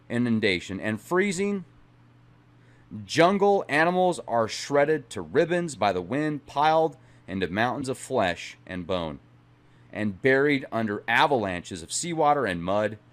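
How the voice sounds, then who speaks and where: A middle-aged man hosting a channel, speaking in a dramatic, emphatic way as he reads aloud and comments. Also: medium pitch. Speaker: channel host. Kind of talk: dramatic reading aloud with casual asides.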